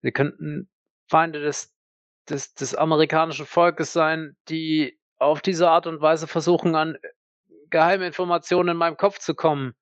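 A man playing a confused, defiant captive army captain in an audio roleplay, halting, guarded and distrustful. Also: fairly high pitch, flat delivery, clear, resonant tone, no room sound, dull audio, voice pushed up, natural voice.

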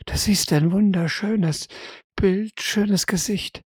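A woman's voice: mid-range voice, takes it slowly, a little mellow, breathy edge, no echo, studio quality. A woman narrating an audio roleplay as game master, in an atmospheric, second-person horror style.

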